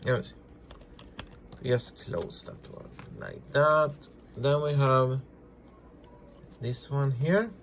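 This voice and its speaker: A man presenting a casual hands-on repair tutorial, talking through each step in halting, simple non-native English. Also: medium pitch, fairly even intonation, a bit bright, a little hiss or hum. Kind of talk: casual hands-on demonstration, narrating as they work.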